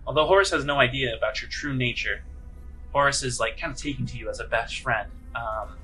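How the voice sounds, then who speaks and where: A man narrating a tabletop game as game master, improvising descriptions in a conversational, halting way. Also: mid-range voice, sharp tone, faint music behind, rough recording.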